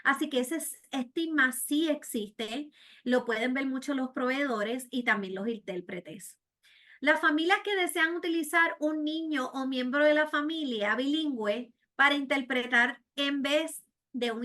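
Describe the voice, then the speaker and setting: A woman's voice: high voice, a little slow, pushed and bright. A middle-aged woman with a Puerto Rican accent presenting a webinar to healthcare providers, earnest, with frequent fillers and "¿verdad?" tags. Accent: Puerto Rican Spanish. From Puerto Rico. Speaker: program director presenting a webinar.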